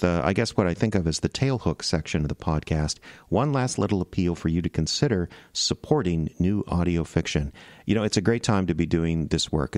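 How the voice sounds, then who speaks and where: An American man with a low voice addresses listeners as a podcast producer. He is conversational and earnest, feeling his way through his phrases. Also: darkish tone, no room sound, studio quality. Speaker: podcast producer making an appeal. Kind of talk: casual direct address to listeners.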